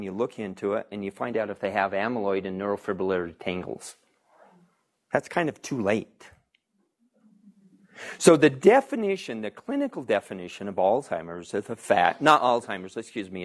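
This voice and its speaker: A man, a physician, giving a conversational public health talk, repeating audience questions and asking the room rhetorical questions. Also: medium pitch.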